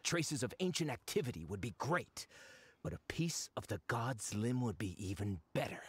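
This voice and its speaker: An actor playing a slick, smug and impatient researcher in an anime game, with glib, affected politeness. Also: male voice, medium pitch, sing-song, moderate pace, breathy edge, no room sound. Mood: scheming, intrigued.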